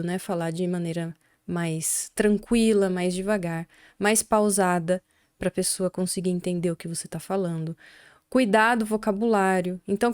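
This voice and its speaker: A woman English teacher talks on her podcast in an easy, conversational way. She pauses often and drops small filler tags. Her voice sits at a middle pitch, and the sound is dry and close to the mic. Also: darkish tone, no room sound. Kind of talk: casual podcast talk.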